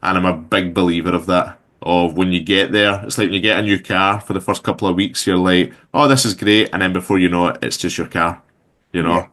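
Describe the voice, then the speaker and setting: A man's voice: deep voice, brisk. A man in his thirties with a Glaswegian Scottish accent, chatting casually as an interview guest, loose and rambling with lots of fillers. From Scotland. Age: 30s. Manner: relaxed, rambling with many fillers. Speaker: guest entrepreneur.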